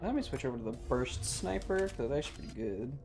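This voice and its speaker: A man's voice: medium pitch, animated, brisk, over loud music. A man livestreaming a video game with casual, slangy, swearing chatter and jokey commentary. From Southern United States.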